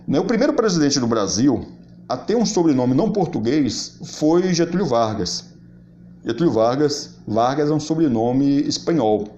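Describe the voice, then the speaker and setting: A man talks through a long stretch of commentary, often restarting and correcting himself as he goes. His pitch sits in an ordinary middle range. The recording sounds somewhat dull and lo-fi. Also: warm tone. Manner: rambling, many restarts and "quer dizer". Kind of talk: spoken political commentary.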